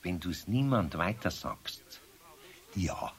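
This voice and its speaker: An actor playing a good-natured, grumbling older craftsman in a children's radio play, with a husky voice and a Bavarian accent. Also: low voice, expressive, slow pace, full character voice. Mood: bustling, kindly.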